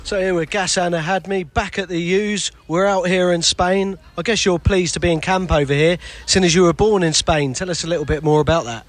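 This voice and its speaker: A man's voice: high-pitched, brisk, few pauses, dry recording. A man, a radio reporter, interviewing a player outdoors by a pool, chatty with long lead-ins to his questions.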